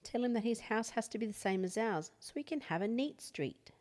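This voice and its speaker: A woman's voice: fairly high pitch, lively intonation, a little fast, darkish tone, pitched above the performer's own voice, close to an everyday voice. Woman voicing emphatic, disapproving storybook neighbours. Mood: exasperated. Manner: emphatic, complaining. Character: disapproving, exasperated crowd of conformist street neighbours.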